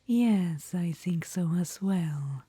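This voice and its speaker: A woman's voice: on the low side, expressive, slow pace, dark tone, no room sound, heavily acted. A woman voicing an ancient, vain undead witch-queen in an audio roleplay, whispering and calling the listener darling. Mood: languid, conspiratorial. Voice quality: breathy whisper.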